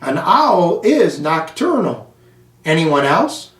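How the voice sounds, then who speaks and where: A stern, unsmiling older schoolteacher , a woman played by a man reading a storybook. The delivery is slow and measured, heard from a little distance in an echoey room. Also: fairly high pitch, big pitch swings, fairly bright tone, heavily acted.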